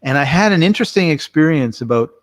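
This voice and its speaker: A man's voice: a little high, animated, fast talker. A man hosting a casual remote chat, joking around and explaining ideas through long spoken analogies. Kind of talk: casual podcast chat over a call. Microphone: remote call mic.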